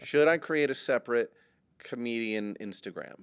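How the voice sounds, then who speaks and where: A man's voice: medium pitch, animated, normal speed, clear, resonant tone, dry recording. A man from the US West Coast, a comedian guest chatting on a podcast in a studio, rambling and restarting his sentences. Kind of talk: casual podcast chat.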